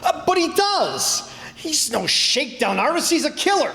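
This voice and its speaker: A man acts out an exasperated police inspector in a radio crime play. The voice sits high for a man and swings widely, full of bluster and sarcasm, and it rings through an echoey hall. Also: sing-song, moderate pace, creaky, sharp tone, exaggerated voice. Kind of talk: old-time radio crime drama acting. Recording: echoey hall stage performance.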